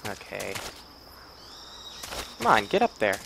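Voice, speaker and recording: A man's voice: lowish voice, big pitch swings, takes it slowly, gravelly, background noise. A man giving casual gameplay commentary in offhand, mumbled asides over a video game. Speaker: gamer recording a playthrough. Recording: game soundtrack behind the voice. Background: video game music and sound.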